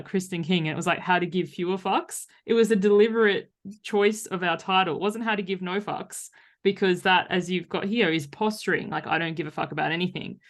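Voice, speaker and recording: A woman hosting a podcast, chatting casually and informally. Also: on the low side. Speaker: podcast host. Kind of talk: casual chat.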